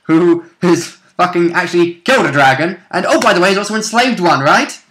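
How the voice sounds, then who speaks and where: Guy with a slightly high bright voice, casual game chat, hedges a lot. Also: a little fast. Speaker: co-commentator and player. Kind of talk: casual chat, speculative commentary.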